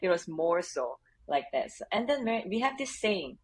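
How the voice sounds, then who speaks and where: A woman from Korea with a Korean accent, a guest answering interview questions in an earnest, measured way with light laughs. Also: fairly low pitch, very animated, darkish tone, husky. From South Korea. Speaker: HR leader, podcast guest.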